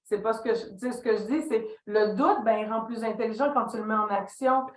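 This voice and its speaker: A woman's voice: a little high, fast. A middle-aged woman with a Québécois French accent, a guest telling stories about her career and acting out remembered dialogue. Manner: animated storytelling, acts out quoted dialogue. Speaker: podcast guest, leadership consultant. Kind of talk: reflective interview talk. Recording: echoey room.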